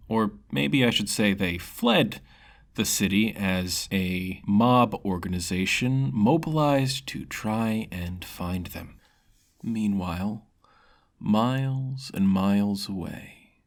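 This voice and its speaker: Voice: low voice, gravelly. A storyteller narrating their own fantasy tale, sometimes dramatic and sometimes casually addressing listeners. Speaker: storyteller and channel creator.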